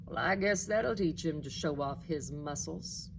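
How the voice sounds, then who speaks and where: Haughty storybook tomcat, female reader: lowish, slow, sharp-tongued, mocking and mean-spirited. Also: creaky, a little hiss or hum, poor audio. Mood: surprised, smug. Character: haughty, sneering male house cat, mean-spirited. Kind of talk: children's storybook character voice.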